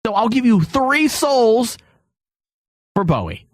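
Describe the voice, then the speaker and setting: A man hosting a talk show with casual, comedic banter, excitable and often restarting his sentences. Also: very high-pitched, slow pace, dry recording, studio quality. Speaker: podcast host.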